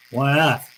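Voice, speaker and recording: A man's voice: medium pitch, fairly bright tone, a little hiss or hum. A man playing a tough, wry private eye in a radio noir drama, terse and slangy, both narrating and in dialogue. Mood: wry, wary. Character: wry, tough, hard-boiled male private detective.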